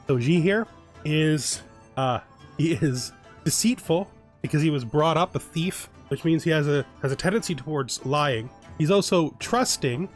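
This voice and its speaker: A man giving relaxed let's play commentary on a strategy game, thinking aloud and often restarting his sentences. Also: a little high. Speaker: gaming YouTuber.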